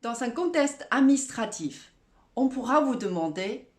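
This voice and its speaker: Woman teaching a language lesson, slow careful examples, pretty animated pitch, echoey recording. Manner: didactic, deliberate, enunciating examples slowly. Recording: roomy, echoing voice recording.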